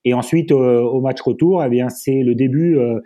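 A man whose first language is German, a football pundit guest in a casual podcast discussion, speaking haltingly and often restarting and repeating words. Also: medium pitch, mellow.

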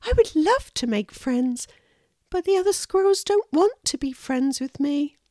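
Storybook squirrel, young female, played by a woman: small high voice, shy and earnest, lilting delivery, dry close sound. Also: expressive, a little slow, mellow, no room sound. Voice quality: little, thin voice. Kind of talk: children's storybook character voice.

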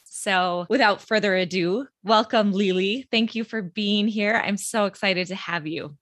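A woman's voice: mid-range voice, measured pace, a bit bright, no room sound, studio quality. A woman hosting an interview, upbeat and encouraging toward her guest. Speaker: podcast host.

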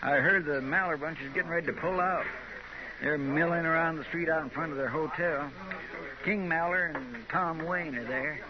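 Folksy sidekick, male actor, fairly high voice, loyal and deferential, noisy vintage radio Western. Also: normal speed, close to an everyday voice. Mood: earnest, uneasy. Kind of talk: 1950s radio Western acting. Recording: noisy, dull 1950s radio recording.

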